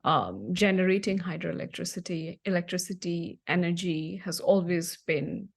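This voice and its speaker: Woman from Nepal, young adult, lower voice, thoughtful, lots of ums. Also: moderate pace, vocal fry, slightly rough. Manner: thoughtful, many fillers and restarts. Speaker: guest. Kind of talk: podcast interview answer.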